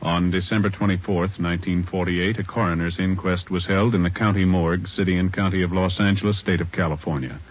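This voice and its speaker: Old-time american radio announcer guy, deep, formal n solemn, music under, muffled. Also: flat delivery, a little fast, hardly any pauses. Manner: solemn, official. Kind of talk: formal 1940s radio scripted narration.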